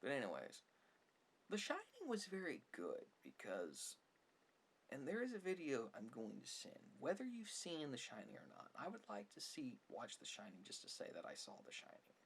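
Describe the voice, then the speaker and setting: A soft-spoken ASMR creator giving rambling, opinionated, mildly sarcastic film commentary, at times dropping to a whisper. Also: medium pitch, huge pitch swings, normal speed, vocal fry, husky.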